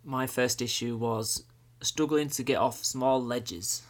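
A man's voice: medium pitch, fairly even intonation, slow pace. A man co-hosting a casual chat, brief and matter-of-fact.

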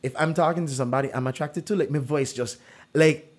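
Animated man hosting a quiz chat. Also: on the high side, fast talker. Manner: animated storyteller, many "like" fillers. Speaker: quiz host. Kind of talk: casual true-or-false quiz chat.

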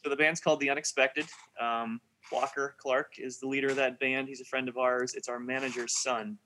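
A male guest with a bright, sharp tone and a mid-range pitch talks about music production. He speaks in a relaxed way and pauses often on fillers. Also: slight background noise, low-quality recording. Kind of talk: casual chat.

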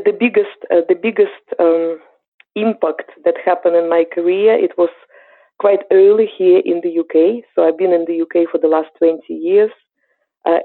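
A woman with a Ukrainian accent, in her forties, answering interview questions thoughtfully with many pauses and fillers. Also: fairly low pitch, gravelly, no echo. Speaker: guest. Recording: dry, tinny phone-like line.

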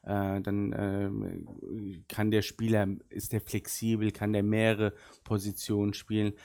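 Deep-voiced middle-aged man, unhurried. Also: little pitch movement, darkish tone. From Germany. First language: Arabic. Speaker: sporting director of a football club. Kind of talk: casual podcast interview.

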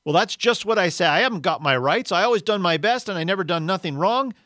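A man voicing an indignant working-class ghost from a story, blustering and argumentative in rough colloquial grammar. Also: high-pitched, fast, fairly bright tone, no room sound, studio quality, voice pushed up. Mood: aggrieved, argumentative. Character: indignant, aggrieved working-class male ghost demanding his rights.

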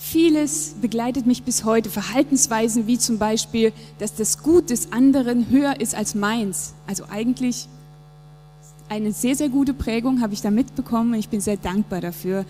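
Woman from japan, 30s, thoughtful churchy chat, mellow voice, big reverb, bit of music behind. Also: on the high side, normal speed. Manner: reflective, earnest, with fillers. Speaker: interviewed church member. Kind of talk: casual conversation in a church service interview.

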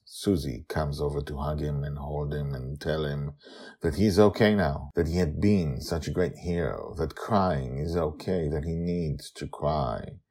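A man hosting and narrating a fiction podcast with animated, swooping emphasis. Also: a bass voice, vocal fry, breathy edge. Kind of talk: storybook narration.

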